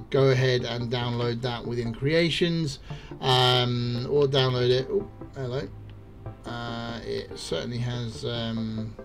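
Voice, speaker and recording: A man narrating a ship-building game tutorial in a relaxed, enthusiastic way with many fillers. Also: medium pitch. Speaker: gaming YouTuber.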